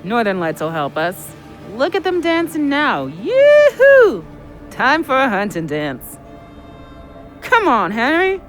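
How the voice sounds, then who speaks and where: Reckless, high-voiced wild man. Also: slow, full character voice. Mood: wild, excited. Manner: excitable, insistent. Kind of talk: audio drama acting. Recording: music behind the voice.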